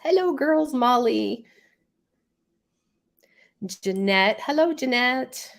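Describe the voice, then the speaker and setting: A woman's voice: high-pitched, lively intonation, takes it slowly, vocal fry. Congested woman chatting on a live stream. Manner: chatty, rambling, laughs often. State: congested from a head cold. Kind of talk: casual live stream chat, reading viewer comments.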